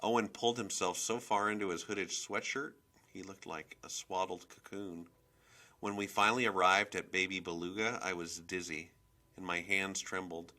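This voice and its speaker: A man reading a children's novel aloud as expressive scripted narration. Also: sits low, moderate pace. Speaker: storybook reader.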